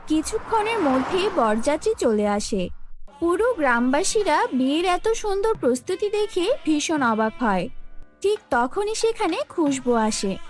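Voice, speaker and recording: High-pitched woman narrating a fairy tale. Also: sing-song, unhurried, soft background music.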